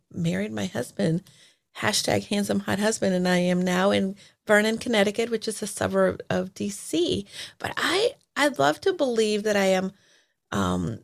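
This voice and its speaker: Woman, forties, gravelly, life coach, reflective rambling talk, casual interview. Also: mid-range voice. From El Salvador. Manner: reflective, rambling with fillers and restarts. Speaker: guest, certified life coach.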